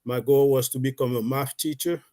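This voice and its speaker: A man's voice: mid-range voice, fairly even intonation, moderate pace, warm tone. A middle-aged man from Haiti with a Haitian accent, a guest telling his life story in a rambling, casual way with many fillers. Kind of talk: casual live-stream storytelling.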